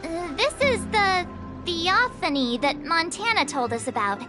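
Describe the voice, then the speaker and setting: Perky feisty anime game girl, super high voice, really bouncy, music behind. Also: lively intonation, measured pace. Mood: surprised, chatty. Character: perky, suspicious young girl adventurer. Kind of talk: video game character acting.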